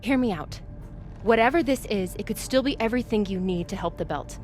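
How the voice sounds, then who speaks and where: A woman's voice: medium pitch, lively intonation, fairly quick, darkish tone, faint music behind, close to an everyday voice. A woman playing an earnest, persuasive space crewmate in a video game, direct and urgent in her questioning. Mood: pleading, challenging. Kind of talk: dramatic video game acting.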